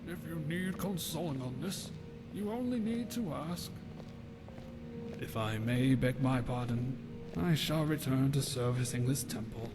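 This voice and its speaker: Deferential temple servant over music. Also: male voice, mid-range voice, animated, a little slow, rough recording. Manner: formal, obliging. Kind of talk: fantasy video game dialogue. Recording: music behind the voice.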